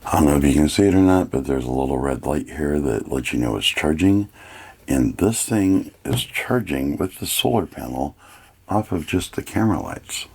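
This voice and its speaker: A man with a gravelly, husky voice giving a casual, plain-spoken hands-on product review. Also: very deep voice, expressive, normal speed, a little mellow.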